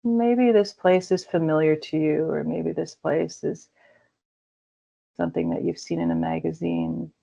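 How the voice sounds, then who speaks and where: A woman leading a guided meditation in a slow, soothing way with gentle pauses. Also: deep voice, expressive, creaky, breathy edge. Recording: dull lo-fi recording.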